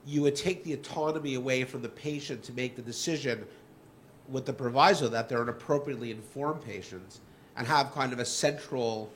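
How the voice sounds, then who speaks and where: A man asking a medical panel a question in a loose, rambling way. He keeps adding "you know" and "like" as he goes. A faint room hum runs underneath. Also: mid-range voice.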